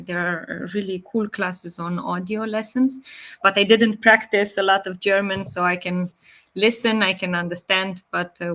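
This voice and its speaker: A woman in her thirties with a Romanian accent, answering interview questions in a measured way with many pauses and fillers. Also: on the low side, fairly bright tone. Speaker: guest. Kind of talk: interview answers, online live session.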